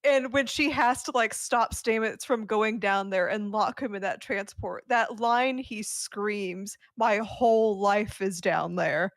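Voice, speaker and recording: A woman's voice: mid-range voice, takes it slowly, few pauses, dry recording, studio quality. A woman chatting casually on a podcast in an emphatic, informal way. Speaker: podcast co-host.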